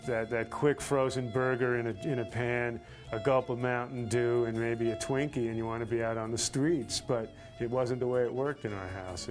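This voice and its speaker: American male interviewee, middle-aged, medium pitch, emphatic storyteller who stacks phrases. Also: a little mellow. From United States. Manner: emphatic, builds phrases through repetition. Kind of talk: documentary interview, reflective storytelling.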